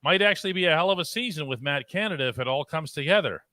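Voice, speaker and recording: Bright-voiced sports talk host. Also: male voice, a little high, animated, dry recording. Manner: brisk, plugs and reads comments.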